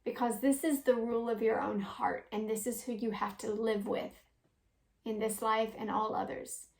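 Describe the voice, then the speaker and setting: A woman's voice: a little high, flat delivery, normal speed, vocal fry. A woman in her forties, a spiritual teacher and parent, speaking in an earnest, musing monologue with frequent "um" and "like". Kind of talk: reflective spoken monologue. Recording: echoey room.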